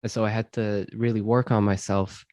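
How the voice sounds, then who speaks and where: A man from Syria, host of a self-improvement podcast, answering interview questions in a thoughtful, measured way with frequent ums. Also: lowish voice, little pitch movement, dark tone, dry recording. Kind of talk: reflective conversational interview.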